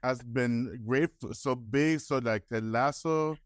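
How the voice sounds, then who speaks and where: A man's voice: medium pitch, slow pace, no echo, studio quality. A man interviewing in a casual, fan-like way, stumbling through rambling questions with false starts.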